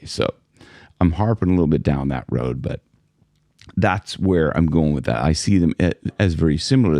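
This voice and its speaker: Very deep, gravelly-voiced man. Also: dark tone, no echo. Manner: rambling, conversational, many restarts. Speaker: vocal coach. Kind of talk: casual talk to camera.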